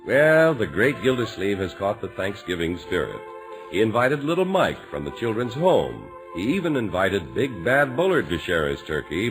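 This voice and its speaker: A man announcing in scripted 1950s radio style, with emphatic, deliberate salesmanship. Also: lowish voice, low-quality recording.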